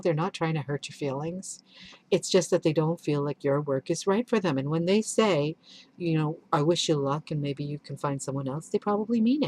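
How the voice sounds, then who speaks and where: A woman's voice: low-pitched, a little mellow, rough recording. A woman whose first language is Urdu, a children's author speaking candidly and earnestly in a reflective vlog, often restarting and correcting herself. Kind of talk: reflective vlog answering questions.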